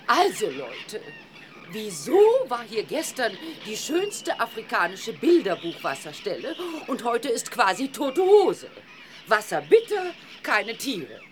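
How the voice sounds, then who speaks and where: An excitable, cheeky adventuress with a high, swooping voice. She is theatrical and exclamatory, a bold family friend from a children's audio play. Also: slow, a little hiss or hum, exaggerated voice. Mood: sly, knowing. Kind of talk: children's audio drama acting.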